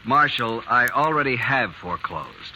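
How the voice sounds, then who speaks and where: An actor playing a greedy, cold banker in a 1950s radio drama, speaking curtly and dismissively. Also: male voice, medium pitch, expressive, measured pace, pushed and bright, rough recording. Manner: curt, haughty, dismissive. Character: cold greedy middle-aged male banker. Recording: hissy old 1950s radio broadcast.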